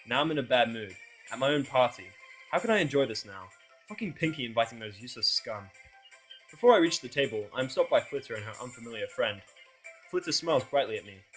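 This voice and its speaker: A man's voice: mid-range voice, lively intonation, fast, faint music behind, low-quality recording. A man narrating a first-person fan fiction audio drama in a sardonic, exasperated way.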